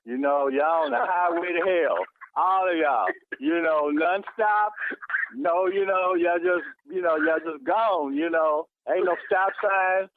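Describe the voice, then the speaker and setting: A man's voice: a little high, measured pace, a little hiss or hum. A man arguing heatedly on the phone, indignant and emphatic, repeating his phrases. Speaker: church member receiving a prank call. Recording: tinny telephone line.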